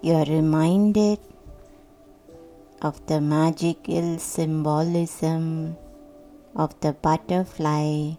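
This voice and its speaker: A woman guiding a sleep meditation in a soothing, hushed, deliberate voice with long pauses. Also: low voice, steady tone. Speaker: meditation guide. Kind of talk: guided sleep meditation, scripted narration. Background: soft meditation music.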